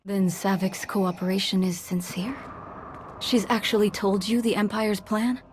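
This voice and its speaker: An actress playing a composed, concerned senator in a video game, formal and measured. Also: female voice, mid-range voice, level tone, slight background noise, lightly acted. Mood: questioning. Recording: loud music under the voice.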